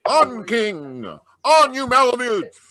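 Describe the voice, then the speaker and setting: A man's voice: extremely high pitch, very animated, slow pace, crowd noise, full character voice. A man playing a heroic Mountie calling commands to his sled dogs in old-time radio adventure style. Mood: rousing command.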